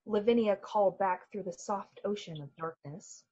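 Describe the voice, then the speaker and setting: A woman narrating a radio play in a measured, atmospheric, dramatic storytelling style. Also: mid-range voice, dark tone, husky, muffled recording, natural voice. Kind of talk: literary radio-play narration in 1940s manner. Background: music.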